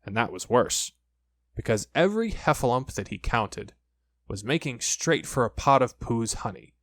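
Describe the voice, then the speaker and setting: A man narrating a children's story from a script, in an expressive, measured storytelling way. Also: medium pitch, no room sound. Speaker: audiobook narrator.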